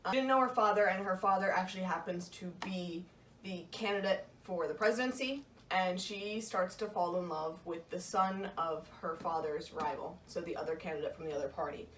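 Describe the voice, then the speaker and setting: A woman's voice: on the low side, moderate pace, fairly bright tone. A young woman co-hosting a casual book vlog, talking earnestly in a loose, conversational way. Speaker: book vlogger, co-host. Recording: echoey room, distant mic, faint noise.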